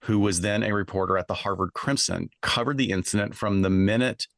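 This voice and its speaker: A man's voice: lowish voice, a little fast, gravelly, a bit bright, dry recording, clean studio sound. A man co-hosting a podcast, moving between scripted case narration and casual discussion. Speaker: podcast co-host.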